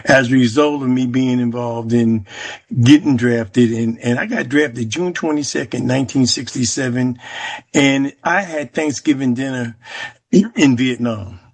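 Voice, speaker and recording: An American man in his seventies from New Jersey, recounting his life in an interview with a reflective, halting delivery and frequent pauses. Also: mid-range voice. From Jersey City, New Jersey, United States. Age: 70s. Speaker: interviewee, Vietnam War veteran. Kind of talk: personal oral history interview.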